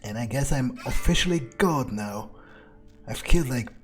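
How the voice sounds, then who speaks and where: A man's voice: medium pitch, animated, natural voice. Man playing a casual, musing vampire over faint music. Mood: smug. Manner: casual, musing, halting delivery. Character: cocky, ruthless young male vampire, musing aloud. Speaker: gamer roleplaying his character. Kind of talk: in-character video game playthrough.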